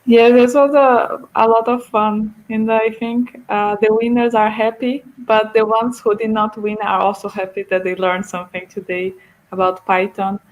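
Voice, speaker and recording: A woman from Brazil, co-organizing an online event on a video call, cheerful and quick to laugh. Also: a little high, flat delivery, rough recording.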